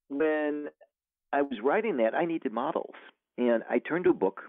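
A very deep-voiced man speaks over a thin, phone-like line with a dry, close sound. He is an author chatting as a guest, wandering through his thoughts with lots of fillers and false starts. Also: no echo. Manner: rambling, many fillers and restarts. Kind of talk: casual interview talk.

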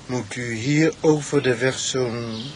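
An actor playing a nosy, terse, matter-of-fact village petrol attendant in a radio drama. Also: male voice, medium pitch, takes it slowly, close to an everyday voice. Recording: noisy outdoor vehicle ambience.

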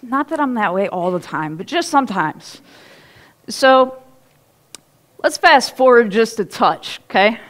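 A woman's voice: a little high, a little slow, long pauses. A woman pastor in her thirties, preaching a sermon in a casual, storytelling way on a church stage. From Ohio. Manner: chatty, animated storytelling with laughs and asides.

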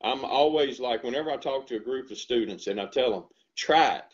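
Older Southern farmer, folksy storyteller, headset call audio, slightly dull and lo-fi. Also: male voice, mid-range voice, brisk. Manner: animated storytelling, earnest and folksy. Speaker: dairy farmer and ice cream shop owner. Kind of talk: casual interview over video call. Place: home room with bookshelves. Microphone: headset on video call.

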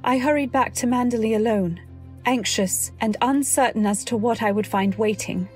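Actress as an anxious wife narrating, music behind. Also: female voice, fairly high pitch, natural voice. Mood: tense, reflective. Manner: literary, earnest, deliberate. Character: anxious, earnest young wife narrating her ordeal. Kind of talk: dramatic scripted narration, old-time radio.

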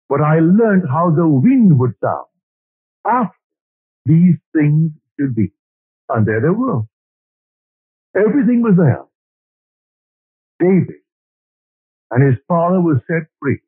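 An older man from Barbados lectures earnestly, weaving stories with quoted scripture and verse. His voice is husky and dry, heard through a narrow, lo-fi old recording. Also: fairly high pitch, no echo. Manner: earnest storytelling, quoting scripture and poetry. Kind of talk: spiritual lecture. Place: lecture hall. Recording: old lo-fi tape recording, phone-like band.

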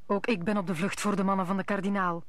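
A woman's voice: mid-range voice, little pitch movement, quick pace, close to an everyday voice. A woman playing a cunning, villainous noblewoman posing as a friend in an old Dutch radio play. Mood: urgent, deceitful.

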